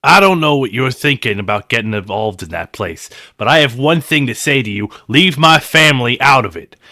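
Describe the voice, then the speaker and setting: A man's voice: medium pitch, animated, normal speed, no echo, studio quality. An actor playing an angry father who threatens a man to stay away from his daughter. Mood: threatening. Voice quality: loud, forceful.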